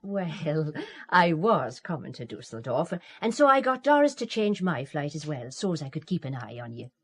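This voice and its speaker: A woman's voice: deep voice, sing-song, moderate pace, slightly rough, heavily acted. A woman playing a bossy, blunt middle-aged Irish aunt in a radio spy drama. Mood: bossy, affectionate.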